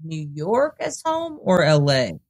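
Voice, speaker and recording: A man's voice: fairly high pitch, huge pitch swings, slow pace. A man hosting a casual podcast interview. He asks his questions in a loose, rambling way. His phrasing is hesitant, full of restarts and fillers. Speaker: podcast host.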